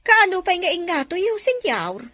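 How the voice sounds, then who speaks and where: A woman's voice: very high voice, big pitch swings, normal speed, bright, forceful tone, no room sound, exaggerated voice. A woman voicing a timid, loyal middle-aged housemaid who answers her employers with deference in a radio drama. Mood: deferential. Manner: deferential and flustered, keeps saying sir and ma'am.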